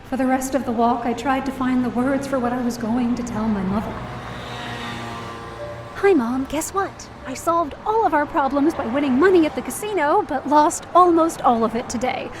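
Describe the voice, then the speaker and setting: A woman's voice: sits high, normal speed, close to an everyday voice. An actress playing an anxious young woman who narrates her own story in an audio drama, confiding and self-deprecating. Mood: distraught, defeated. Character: anxious, downcast young woman, daughter of a hospitalized mother. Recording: roomy, distant-sounding voice over music.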